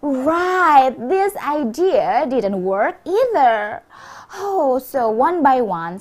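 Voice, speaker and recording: A woman telling a children's story in a theatrical, expressive way, addressing her listeners with questions. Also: a little high. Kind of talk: interactive children's storytelling in English.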